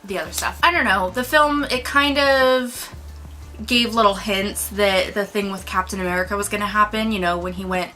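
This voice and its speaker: A woman's voice: mid-range voice, moderate pace, sharp tone, soft background music. A woman co-hosting a movie review in a casual, chatty, informal way. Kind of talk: casual film discussion.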